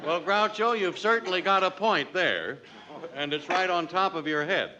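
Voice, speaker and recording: A man's voice: high voice, animated, normal speed. A mock-pompous, glib man doing 1940s radio announcer banter and sales patter. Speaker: radio announcer and comic foil. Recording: muffled, noisy old 1940s radio recording.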